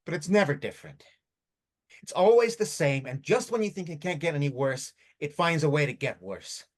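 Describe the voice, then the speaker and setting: An actor playing a bitter, worn-out addict in despair in a drama reading, agitated, defensive and stumbling over words. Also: male voice, on the high side, animated, moderate pace, natural voice. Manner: agitated, defensive, stammering, swearing. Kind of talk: script reading of a stage drama.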